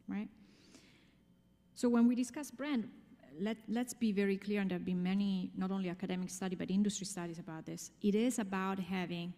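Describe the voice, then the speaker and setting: Italian accent woman doing a conference talk, soft mellow tone, explains stuff with emphasis, big echoey hall. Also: medium pitch. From Italy. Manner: emphatic, explanatory, with rhetorical questions and check-in tags. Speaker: conference speaker. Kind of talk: conference presentation to an audience. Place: large conference room, speaking to an audience. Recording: long hall reverb.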